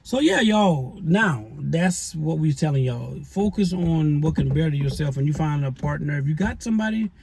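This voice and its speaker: A man's voice: on the high side, expressive, normal speed, vocal fry, hardly any pauses, a little mellow, slightly rough. A middle-aged man co-hosting a relationship advice show, lecturing viewers in a folksy, preachy way and calling them y'all. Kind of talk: casual advice chat to viewers.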